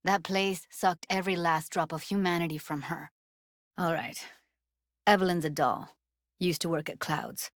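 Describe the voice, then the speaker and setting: A woman's voice: lowish voice, fairly even intonation, moderate pace, natural voice. An actress voicing a streetwise young techie woman in a cyberpunk video game, talking in a casual, slangy, wry way. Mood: blunt, serious. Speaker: video game character actor. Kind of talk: naturalistic game voice acting.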